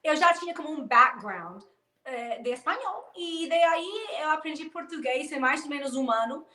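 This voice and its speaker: High bright woman, echoey room. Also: lively intonation, moderate pace. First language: English. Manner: speaks learned Portuguese with slight hesitations. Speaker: immigration lawyer. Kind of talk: podcast interview answers.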